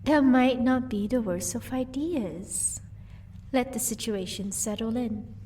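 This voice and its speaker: Woman acting a female vampire, fairly high voice, slightly off-mic, haughty, amused, cool, teasing, audio roleplay. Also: slow pace, warm tone, a little hiss or hum. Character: amused, haughty vampire wife, mistress of the estate. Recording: voice distant from the microphone.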